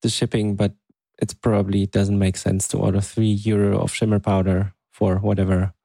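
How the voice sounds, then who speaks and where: A man's voice: lowish voice, little pitch movement, moderate pace, creaky, mellow, no room sound. A man co-hosting a podcast in casual conversation, with hesitant, thoughtful phrasing. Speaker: podcast co-host. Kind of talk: casual chat.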